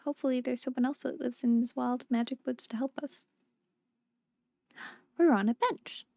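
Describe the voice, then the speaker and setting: A woman giving chatty, softly delighted let's-play commentary as she plays a video game. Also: high-pitched, fairly even intonation, moderate pace, gravelly, no room sound, studio quality. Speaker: video game streamer reading dialogue aloud.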